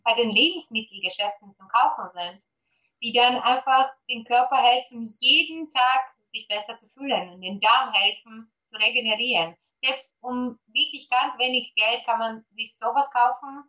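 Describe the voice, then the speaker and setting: Polish-accented woman, bright and eager. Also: on the high side, normal speed, rough recording. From Poland. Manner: eager, many fillers, promotional. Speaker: guest, gut health coach. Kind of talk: podcast interview.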